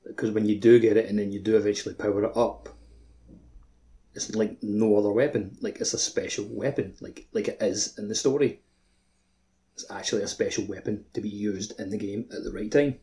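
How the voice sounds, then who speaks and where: Mellow, dark-voiced man, low and even. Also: fairly quick. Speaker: podcast co-host. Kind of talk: casual gaming podcast discussion.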